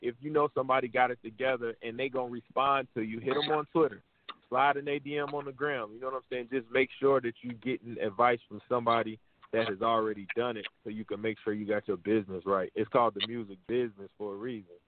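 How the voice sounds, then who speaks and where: A man's voice: medium pitch, level tone, fast talker, slight background noise. Male American interview guest, rapper, slangy and earnest, tinny narrow-band sound. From United States. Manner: earnest advice-giving, slangy. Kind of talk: casual interview chat.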